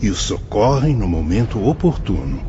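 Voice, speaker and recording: A man with a Brazilian Portuguese accent plays a calm, wise male spirit instructor who explains things patiently in a radio drama. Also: on the low side, moderate pace, noisy background. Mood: patient, instructive. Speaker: spirit guide explaining the colony. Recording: dull, muffled sound.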